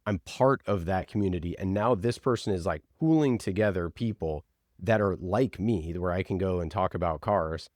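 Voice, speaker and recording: Deep-voiced man explaining things informally. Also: darkish tone, dry recording, clean studio sound. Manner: informal, halting, thinks aloud with examples. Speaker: interview guest, podcast producer. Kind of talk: conversational explaining.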